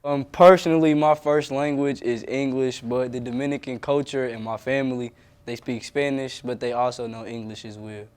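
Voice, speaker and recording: A young man with a smooth, resonant voice answers interview questions, earnest and emphatic in his delivery. He speaks at a middle pitch, plainly and directly. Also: fairly even intonation, normal speed, a little mellow.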